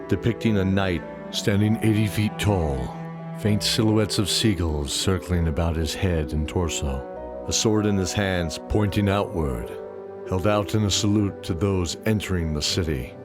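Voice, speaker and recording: A man reading scripted fantasy audio drama narration in a measured storytelling manner. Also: low-pitched. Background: music.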